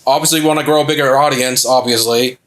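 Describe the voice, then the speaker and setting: A man's voice: medium pitch, fairly even intonation, fast, fairly bright tone. A young man in his twenties co-hosting a gameplay video, chatting casually with laughs and rambling restarts. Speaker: let's play co-host.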